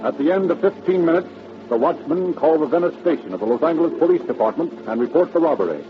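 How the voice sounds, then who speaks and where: An actor narrating an old-time radio crime drama in a measured, formal, scripted style. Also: male voice, fairly high pitch, expressive, muffled recording, music in the background, lightly acted. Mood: measured, factual.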